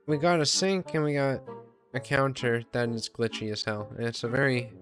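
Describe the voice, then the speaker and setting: A man's voice: medium pitch, moderate pace, music in the background. A man giving casual, rambling gameplay commentary as a video host, offhand, self-deprecating and casually sweary.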